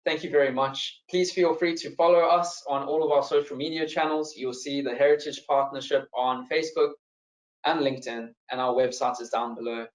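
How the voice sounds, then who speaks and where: A man with a South African accent, a financial adviser presenting a live online seminar and taking audience questions in a relaxed, friendly way. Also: medium pitch, a little fast, echoey room, rough recording. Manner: relaxed and friendly, with frequent fillers and repeated words. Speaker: financial adviser hosting a webinar.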